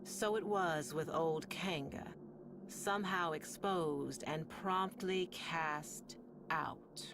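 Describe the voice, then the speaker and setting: A woman playing a sardonic, world-weary radio host in a sci-fi game, telling stories in a deliberate, ominous, wry way with long pauses over music. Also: low-pitched, background noise. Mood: ominous storytelling. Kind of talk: slow dramatic monologue to listeners, storytelling.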